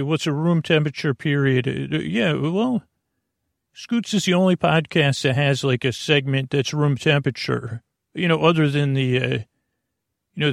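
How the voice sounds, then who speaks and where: A man with a creaky voice hosting a sleep podcast, rambling through a bedtime monologue in a halting, gently goofy way, often repeating himself. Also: medium pitch, no echo, studio quality. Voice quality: creaky, gravelly voice. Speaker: podcast host.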